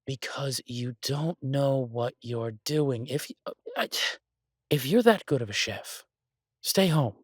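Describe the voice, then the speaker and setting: A man's voice: medium pitch, lively intonation, no echo. Man playing an exasperated chef boyfriend, close dry sound. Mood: exasperated, ranting. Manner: grumbling, halting, with exasperated sighs. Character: exasperated restaurant chef, affectionate boyfriend venting to partner. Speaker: audio roleplay performer. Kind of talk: boyfriend audio roleplay, conversational monologue.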